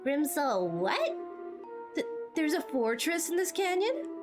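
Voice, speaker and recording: A woman doing amateur game dubbing as a plucky, excitable young female trainee fighter. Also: sits high, slow, over music, pitched above the performer's own voice, exaggerated voice. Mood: surprised.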